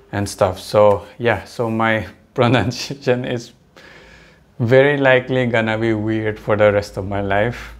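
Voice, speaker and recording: Hesitant young man, mixed English accent. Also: fairly low pitch. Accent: mixed British, American and Indian-influenced English. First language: not English. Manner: hesitant, self-correcting, laughs often. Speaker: vlogger. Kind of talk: casual talk to camera.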